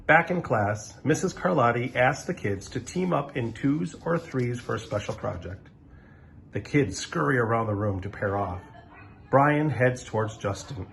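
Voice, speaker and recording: A man reading a children's story aloud in an animated, expressive way, with dramatic pauses. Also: mid-range voice, vocal fry, a little hiss or hum.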